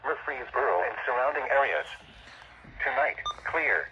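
A man's voice: mid-range voice, expressive, normal speed, muffled recording. Guy reading the weather forecast out of a radio speaker, super noisy. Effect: played through a radio speaker. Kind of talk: scripted weather bulletin. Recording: very noisy radio broadcast playback.

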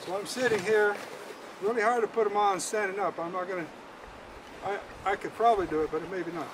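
High-voiced older man, folksy how-to. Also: steady tone. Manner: folksy, halting, repeats words. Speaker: fishing guide. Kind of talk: casual how-to demonstration. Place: outdoors at a roadside in the country, by a car. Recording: clear outdoor background noise.

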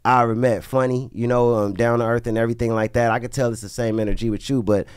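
A man's voice: mid-range voice, little pitch movement, fast, clear, resonant tone, no echo. Male podcast host with a dry, close sound. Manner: colloquial street slang. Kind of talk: casual conversational interview. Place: small soundproofed podcast studio. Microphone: close studio podcast microphone.

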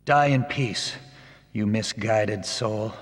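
An actor playing a stern, honorable ninja in a video game, speaking in a formal, solemn, measured way. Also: male voice, lowish voice, breathy edge, no echo, clean studio sound. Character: stern, honorable male ninja avenger, calm and grim. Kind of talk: video game cutscene acting.

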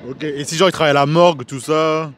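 A man's voice: on the high side, fairly even intonation, quick pace, a bit bright. A man hosting a casual street interview, teasing and laughing often, on a handheld mic. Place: outdoor city square. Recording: busy street crowd noise. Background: passing crowd.

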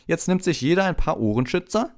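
A man voicing a brisk, practical female herbology professor instructing her class in an audiobook. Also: a little high, very animated, pitched above the performer's own voice. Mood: brisk, instructive. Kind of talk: audiobook character voice.

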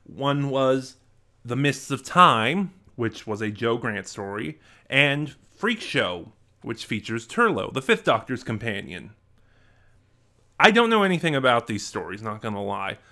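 A young man with a bright, clear-edged voice talks his way through a casual unboxing vlog. He sounds enthusiastic and animated, gesturing as he speaks. He is recorded on a desk mic in a small room at home. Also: medium pitch, measured pace. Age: young adult. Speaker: vlogger. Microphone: desk mic in front of webcam.

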